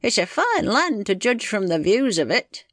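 A woman voicing a gruff, impatient old village husband with a rural English dialect in a story reading. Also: medium pitch, sing-song, moderate pace, fairly bright tone, dry recording, voice pushed up. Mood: grumbling. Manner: grumbling, bawling, rustic.

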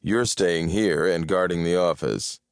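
A man's voice: deep voice, unhurried, smooth, dry recording. A man voicing a sardonic, grumpy male detective with a dry, irritable delivery, in an audiobook. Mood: defensive.

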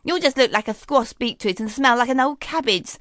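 A woman's voice: a little high, lively intonation, fairly quick, a bit bright, dry recording, clean studio sound, pitched above the performer's own voice. A woman voicing a rude, scornful young stepsister who sneers and trades insults. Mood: sneering.

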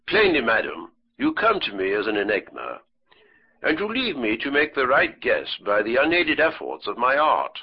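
A man reading an audiobook, voicing a composed, proud physician who speaks in a measured, formal way. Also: mid-range voice, wildly swooping pitch, husky, dull audio, exaggerated voice. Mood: probing.

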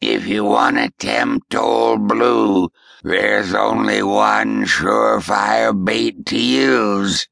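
A man voicing an old, waterlogged male ghost who hisses and gurgles his words in a slow backwoods drawl. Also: on the low side, fairly even intonation, creaky, fairly bright tone, exaggerated voice. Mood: gruff. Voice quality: hissing, gurgling. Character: waterlogged old male swamp ghost, gruff, hoarse, mocking.

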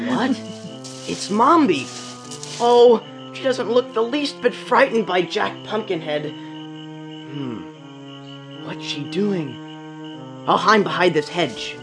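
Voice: mid-range voice, slow, pauses often, low-quality recording. An actor playing a mischievous young boy in a radio drama, eager and cheeky. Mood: nervous, watchful. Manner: eager, boyish, theatrical. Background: music.

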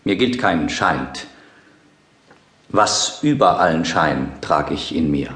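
Very low, brooding prince. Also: male voice, animated, slow, a bit bright, echoey room, heavily acted. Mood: sombre, bitter. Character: brooding young grieving prince, bitter and guarded. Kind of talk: classical audio drama acting.

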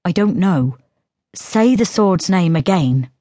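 An earnest, guarded young brother, read by a woman in her own low voice. He speaks quietly and slowly, in a deep, dry, close sound. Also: expressive, no room sound.

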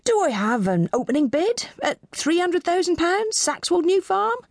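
A woman's voice: very high-pitched, lively intonation, moderate pace, dry recording, studio quality, voice pushed up. A woman voicing a timid male auctioneer in an audiobook, formal and apologetic, with fragile authority. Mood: formal calling. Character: timid, flustered, polite male country auctioneer.

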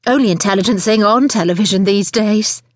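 A woman's voice: mid-range voice, fairly quick, dry recording, clean studio sound, lightly acted. A woman voicing a haughty, theatrical café customer in an audiobook. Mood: simpering, gushing. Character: irate, haughty female café customer turned gushing fan.

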